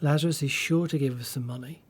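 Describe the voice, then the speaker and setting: A man's voice: mid-range voice, fairly even intonation, fast talker, natural voice. A man playing a kindly, well-meaning disciple in a radio play, with a friendly, reassuring manner. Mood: anxious, reassuring.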